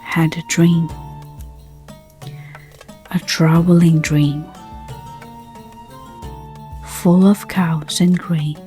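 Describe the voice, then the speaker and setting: The woman on a sleep-story track late at night, lowish voice, gently murmuring each phrase very slowly over music. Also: flat delivery. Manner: gentle, soothing, hushed, unhurried phrasing. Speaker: narrator. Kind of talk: slow bedtime story narration. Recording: soft music under the voice. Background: background music.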